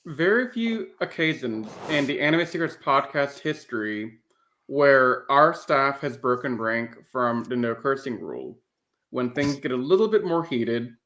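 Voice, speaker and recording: A man's voice: medium pitch, fairly bright tone. A man chatting casually as the host of a podcast.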